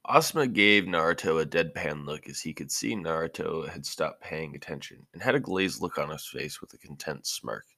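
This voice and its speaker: A man reading scripted fan fiction narration for a podcast, expressive, with an occasional stumble. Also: rumbling low, normal speed, vocal fry, hardly any pauses, dry recording, studio quality.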